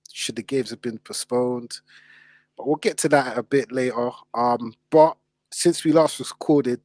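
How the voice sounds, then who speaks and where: A man's voice: medium pitch, a little mellow, dry recording. A man hosting a casual football discussion in informal, slangy speech. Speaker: podcast host.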